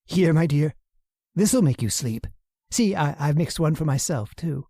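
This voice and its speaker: A man's voice: a little high, lively intonation, measured pace, dark tone, no echo, clean studio sound. Man acting a stammering, nervous scheming husband. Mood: nervous, coaxing. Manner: stammering, hesitant. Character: weak, nervous husband secretly plotting murder, defiant. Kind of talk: old-time radio horror acting.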